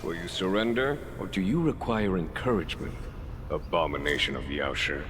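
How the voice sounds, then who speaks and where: An actor playing a composed, commanding general in a video game, speaking in a measured, theatrical way. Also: male voice, low-pitched, fairly bright tone, a little hiss or hum. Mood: stern, measured. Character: composed commanding male general interrogating a prisoner. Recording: long reverb, music underneath.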